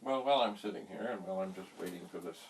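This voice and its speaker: A middle-aged man giving an unscripted hands-on demonstration, speaking in deliberate, broken phrases with quaint, slightly theatrical wording, recorded on a distant mic. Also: low voice. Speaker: vintage computer hobbyist presenting a how-to vlog. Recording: distant mic, faint background hiss.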